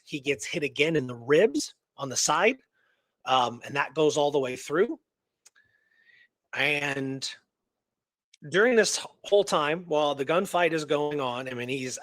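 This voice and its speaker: A man's voice: fairly high pitch, a bit bright, dry recording. A man hosting a talk show, telling a historical story in a casual, colloquial, earnest and engrossed way. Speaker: radio show host telling a true story.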